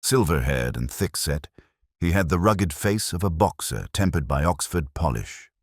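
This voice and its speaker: A man narrating a scripted story in a measured, formal manner. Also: rumbling low, animated, no room sound, clean studio sound. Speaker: audiobook narrator.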